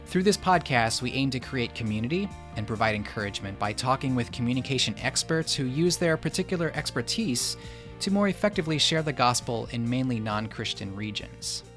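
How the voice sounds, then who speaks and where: A man's voice: mid-range voice, expressive, brisk, music in the background. A man hosting a podcast, moving between prepared introduction and casual conversation with guests. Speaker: podcast host.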